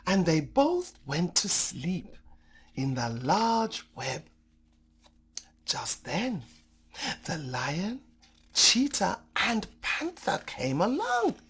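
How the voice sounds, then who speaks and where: Woman storyteller, very slow deliberate pace, highly animated pitch, dramatic children's storybook narration. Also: breathy edge.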